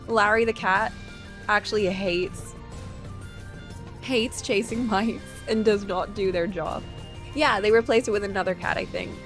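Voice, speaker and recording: A woman's voice: medium pitch, steady tone, measured pace, pauses often, a bit bright. A woman streamer with a chatty, giggly manner, switching between casual talk and reading a story aloud. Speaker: livestream host reading a visual novel aloud. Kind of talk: casual chat and read-aloud story narration. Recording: background music.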